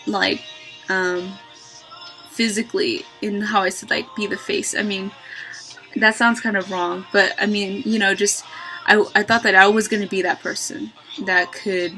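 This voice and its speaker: A young woman talking to her webcam in a small bedroom, thinking aloud with many pauses and ums. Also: bright, forceful tone, rough recording. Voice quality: low-voiced for a woman, by her own account. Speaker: vlogger. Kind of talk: reflective casual monologue. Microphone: webcam at close range.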